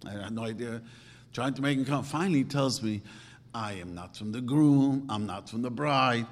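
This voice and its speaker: A man's voice: normal speed, long reverb tail. Man, preaching. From grew up speaking Yiddish and Hungarian. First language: Yiddish. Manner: emphatic, swings from low asides to loud outbursts. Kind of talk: sermon.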